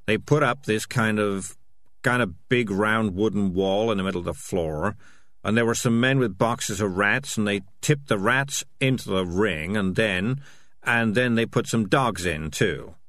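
A man's voice: fairly low pitch, a bit bright, no room sound. A man voicing a shifty, self-serving male cat in a storybook, hesitant and evasive with stammered excuses.

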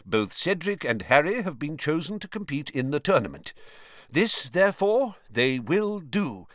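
Audiobook role: old headmaster, played by a man, firm, courteous authority, somewhat raised pitch, dry recording. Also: big pitch swings, a little slow, no room sound. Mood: calm authority.

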